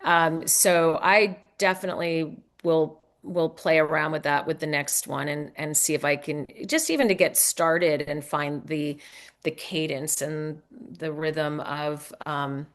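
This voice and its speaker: Low-pitched woman chatting in an interview. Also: a little slow. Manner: conversational, hesitant, thinking aloud. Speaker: author being interviewed. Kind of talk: casual interview chat.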